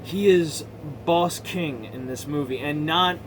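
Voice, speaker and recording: Guy with a slightly high voice ranting casually about movies, swears, really emphatic, noisy hum behind him. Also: slow pace. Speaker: amateur movie reviewer. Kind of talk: casual rambling movie commentary.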